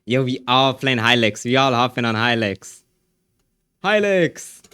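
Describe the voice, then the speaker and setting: A man streaming live, casually reading and answering chat messages, often trailing off quietly at the ends of his phrases. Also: mid-range voice, expressive, unhurried, smooth, dry recording, studio quality.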